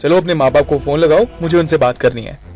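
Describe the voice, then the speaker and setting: A man playing a stern, composed lead investigator in a radio crime drama, measured and authoritative. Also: a little high, a little mellow, close to an everyday voice. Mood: probing, stern.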